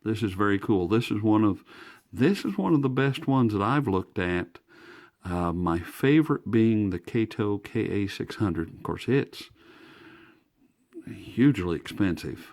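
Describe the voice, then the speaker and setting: Low-voiced, folksy American man reviewing gear. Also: animated, a little slow, a little mellow. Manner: enthusiastic, folksy. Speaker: host, product reviewer. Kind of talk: casual hands-on review talk. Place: indoors. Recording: faint background noise, indoor room.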